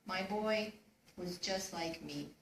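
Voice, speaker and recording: A woman's voice: lowish voice, slow. A woman playing a proud, wistful father in a readers theater recitation. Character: wistful, proud retired father looking back. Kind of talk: spoken song lyrics in readers theater. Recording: echoey room, distant mic, faint noise.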